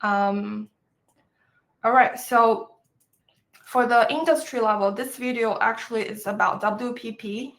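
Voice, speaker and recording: A woman from China with a Chinese accent, presenting to educators over a video call, speaking with many fillers and pauses in a friendly tone. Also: fairly high pitch, fairly bright tone. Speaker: professor presenting. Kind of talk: webinar presentation.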